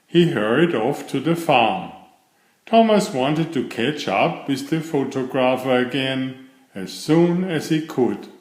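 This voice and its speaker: Animated, unhurried male storybook reader. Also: medium pitch. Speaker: children's book reader. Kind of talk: storybook read-aloud narration. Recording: roomy, echoing voice recording.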